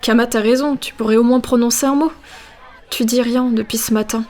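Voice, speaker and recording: Casting: actress for tactful, inquisitive female insect explorer, lightly high voice, audio drama. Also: steady tone, fairly quick, mellow, close to an everyday voice. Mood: worried. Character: curious, tactful, sensitive female insect adventurer. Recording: faint background noise.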